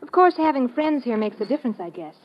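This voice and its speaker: Sly, high-voiced flirt. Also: female voice, lively intonation, fast talker, slight background noise. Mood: slyly probing. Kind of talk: 1950s radio drama acting. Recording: dull old radio recording.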